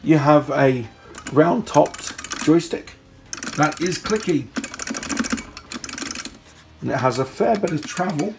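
A man from the UK with a sore throat, chatting casually through an unboxing at home, recorded on a handheld phone and clearing his throat now and then. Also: fairly high pitch, lively intonation, takes it slowly, pauses often, background noise. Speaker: product reviewer. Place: indoor home room. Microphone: phone held in hand.

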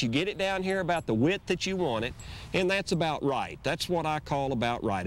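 A man's voice: fairly high pitch, a bit bright, a little hiss or hum. A middle-aged man, hosting a fishing show outdoors by a lake. He explains fishing techniques in an easygoing, folksy way. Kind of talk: instructional fishing demonstration. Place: outdoors on a lake, on a boat and on the shore.

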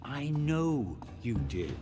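An actor playing a wry, dignified older man in a video game, speaking in a measured, urbane, dry manner. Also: on the high side, big pitch swings, over music. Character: wry, dignified older male captive hotelier. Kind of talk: dramatic video game acting.

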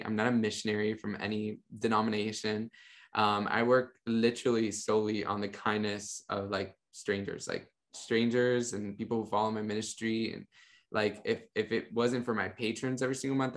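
Young man with a low voice. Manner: relaxed, rambling, many fillers. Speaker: podcast guest, minister. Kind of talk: casual interview talk. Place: home room with monitors. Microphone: studio mic on a video call.

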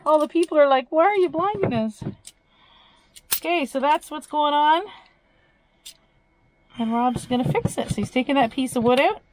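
A Canadian woman chatting to viewers in a van, lively and quick to laugh. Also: high-pitched, a little hiss or hum, low-quality recording. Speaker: van life vlogger. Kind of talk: casual chat to viewers. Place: small van interior.

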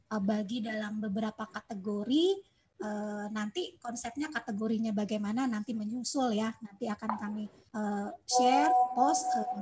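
A woman giving an online presentation for a chess school over a video call, explaining programmes with frequent pauses and fillers. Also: a little high, a little mellow, a little hiss or hum, low-quality recording. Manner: hesitant, many fillers, explanatory.

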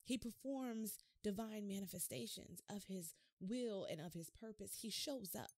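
A middle-aged woman delivers a devotional message on her podcast from a home studio. She preaches in an animated, expressive way and keeps asking her listeners rhetorical questions. Her voice sits mid-range and sounds close and dry, with no room echo. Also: medium pitch, a little slow. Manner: animated hand gestures while talking. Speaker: podcast host. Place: home office studio, indoors.

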